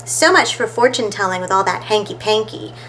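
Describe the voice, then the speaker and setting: A woman's voice: mid-range voice, animated, fairly quick, fairly bright tone, a little hiss or hum, natural voice, low-quality recording. A woman reading the part of a confident, clever girl detective who explains clues in a children's storybook. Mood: explaining.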